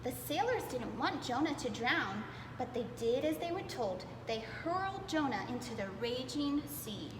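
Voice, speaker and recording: A woman reading aloud to young children in a playful, expressive way, dwelling on words for emphasis. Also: sits high, a little slow. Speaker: preschool storytime reader. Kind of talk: animated children's read-aloud. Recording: echoey reverberant room with clear background noise.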